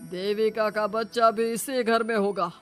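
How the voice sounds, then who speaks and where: Guy doing mean bossy cartoon village patriarch, super high male voice, threatening, music behind. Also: steady tone, measured pace, fairly bright tone, clean tone. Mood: menacing. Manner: domineering, threatening. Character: harsh, tyrannical village patriarch.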